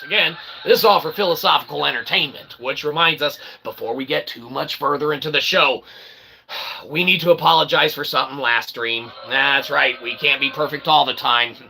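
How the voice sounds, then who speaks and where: A man hosting a rambling livestream, speaking in a wordy, mock-grandiose, self-deprecating way with a gravelly voice. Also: on the high side, pushed and bright, rough recording. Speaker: livestream host. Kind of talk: rambling livestream monologue to chat. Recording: noticeable background noise.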